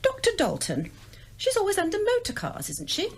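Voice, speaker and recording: A woman's voice: very high-pitched, wildly swooping pitch, normal speed, slight background noise, exaggerated voice. A woman acting an emphatic, opinionated, suspicious amateur sleuth in a radio drama. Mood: brisk, suspicious.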